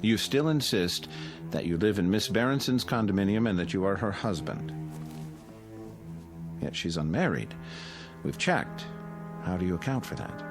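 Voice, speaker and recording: A deep, expressive voice plays a condescending psychiatrist in an audio drama. He is measured and intellectual, calmly patronizing as his pitch rises and falls. Music plays clearly behind him. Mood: patient probing. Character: calm, condescending male psychiatrist at a mental institution. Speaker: actor.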